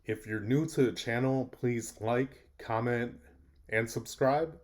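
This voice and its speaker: A man's voice: medium pitch, slow. A man hosting a podcast, introducing his guest and asking short, friendly interview questions.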